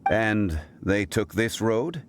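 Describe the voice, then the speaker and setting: A man voicing a composed, courteous, scholarly gentleman in a video game, speaking in a measured, formal, stately way. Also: lowish voice, expressive, background noise. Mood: thoughtful.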